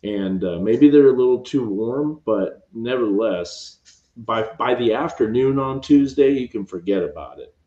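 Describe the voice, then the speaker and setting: A man hosting a late-night weather stream from home, talking quietly and rambling through the forecast on a cheap microphone. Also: medium pitch, animated, normal speed. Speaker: meteorologist host. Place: upstairs room at home at midnight. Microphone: cheap home mic. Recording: roomy, dull home mic.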